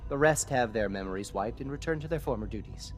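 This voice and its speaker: Game bureau chief, played by a man: officious, curt, exact, mid-pitched, soft music underneath. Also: animated, fast, close to an everyday voice. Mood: businesslike. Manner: formal, clipped, precise exposition. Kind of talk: scripted game dialogue.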